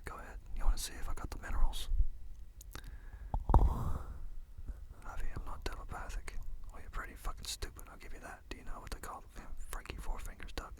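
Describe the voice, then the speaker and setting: A person performs rough film dialogue entirely in a breathy, unvoiced whisper, hopping from one character to the next. The voice stays hushed and close throughout. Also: fairly quick, long pauses. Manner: whispering throughout, swapping between many characters. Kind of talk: whispered film-scene impressions.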